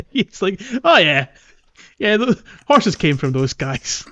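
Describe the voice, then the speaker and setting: A man's voice: high-pitched, very animated, takes it slowly, a bit bright, muffled recording. A man co-hosting a casual gaming podcast with a jokey manner. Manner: jokey, laughs often. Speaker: podcast co-host.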